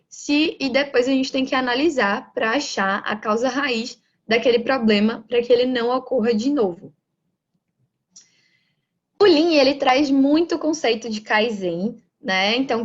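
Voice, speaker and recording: Bahian woman giving a lecture, lo-fi. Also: fairly high pitch. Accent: Bahian Brazilian Portuguese. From Bahia, Brazil. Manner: explanatory, frequent rhetorical "né?" tags. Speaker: lecturer on Lean management. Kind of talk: online lecture. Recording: dull lo-fi audio.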